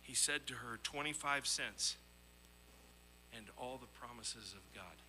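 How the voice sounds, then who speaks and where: A man's voice: mid-range voice, measured pace, sharp tone, slight background noise, poor audio. A man preaching a sermon in an earnest, emphatic way, as he reads scripture and tells illustrative stories. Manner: earnest, emphatic, with rhetorical questions and repetition. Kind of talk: sermon with scripture readings and anecdotes.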